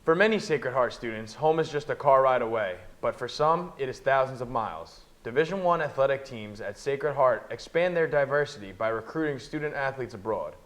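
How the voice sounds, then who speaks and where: A man's voice: mid-range voice, animated, fairly quick, few pauses, fairly bright tone. A young man anchoring a student newscast with a scripted introduction. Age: young adult.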